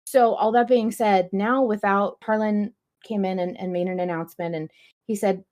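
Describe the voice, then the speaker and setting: A woman's voice: medium pitch, steady tone, normal speed, a little mellow. A woman hosting a podcast, talking casually in a chatty, explanatory way with some emphasis. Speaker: podcast host.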